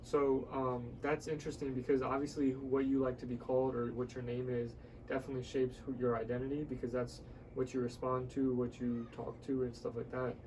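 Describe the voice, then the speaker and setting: A man's voice: mid-range voice, flat delivery, brisk, hardly any pauses, darkish tone, far from the mic, slight background noise. A man hosting a casual conversational podcast interview, often echoing short words of agreement as he listens. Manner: frequent short affirming responses, repeats words.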